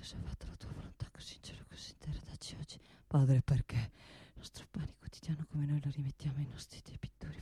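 A woman playing a desperate, unstable man in a theatre monologue, pleading in a halting, repetitive way. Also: sits low, mellow, breathy edge, no echo, studio quality. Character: desperate, pleading man who prays and begs, unstable and tearful.

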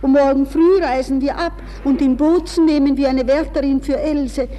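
An actress playing an alarmed, fussy middle-aged aunt, loud and agitated, in a 1960s radio play. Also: female voice, high voice, steady tone, moderate pace, slight background noise, close to an everyday voice. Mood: anxious, bossy. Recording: reverberant 1960s radio recording.

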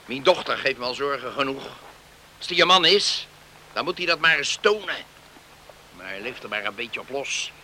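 A man's voice: mid-range voice, lively intonation, moderate pace, bright, forceful tone, slight background noise, low-quality recording. An actor playing a stubborn, proud middle-aged father, speaking curtly and sternly in a Dutch radio drama. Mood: stern, unyielding. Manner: curt, stern, dogged.

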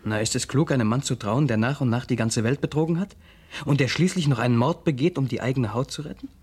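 Actor as husky, deliberate crime drama investigator. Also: male voice, mid-range voice, a little mellow, lightly acted. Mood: warning, uneasy. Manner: formal, deliberate, rhetorical. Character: wary, measured man investigating a shady death. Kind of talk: German radio crime drama acting.

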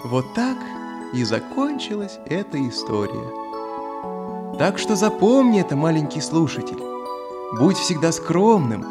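A man tells a fairy tale to small children in a theatrical manner. His voice is high for a man and very animated in melody. Music is clearly present behind him. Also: measured pace. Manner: theatrical, addressing small listeners. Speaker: storyteller.

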